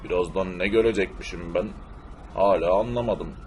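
A man playing a gruff, plaintive fellow who fusses over his beloved cars in an amateur cartoon dub. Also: low voice, moderate pace, darkish tone, a little hiss or hum, close to an everyday voice. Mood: puzzled. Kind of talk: amateur cartoon-style game video dub.

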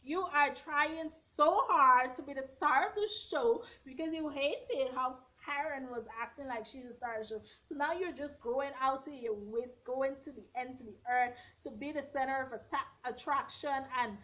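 Woman, twenties, slightly hoarse, blunt and sassy, bedroom vlog, muffled lo-fi audio. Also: sits high, normal speed, hardly any pauses. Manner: sassy, blunt, animated hand gestures. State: a bit hoarse. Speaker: reality TV recap vlogger. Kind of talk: casual opinionated recap. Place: bedroom at home, indoors. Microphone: front camera at arm's length. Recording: dull lo-fi webcam audio.

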